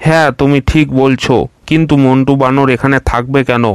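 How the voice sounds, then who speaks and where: Cartoon crocodile, male, lazy, meek, mid-pitched and flat; man voicing. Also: fairly quick, smooth, no room sound, natural voice. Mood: easygoing. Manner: easygoing and meek. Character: lazy, plump, soft-hearted male crocodile. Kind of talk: cartoon voice acting.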